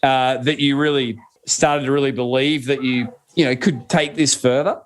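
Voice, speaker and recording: An Australian man hosts the conversation, spinning out long, chatty questions full of asides. His voice sits at an ordinary middle pitch for a man. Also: fairly even intonation, moderate pace, slight background noise. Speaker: podcast host. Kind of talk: interview host.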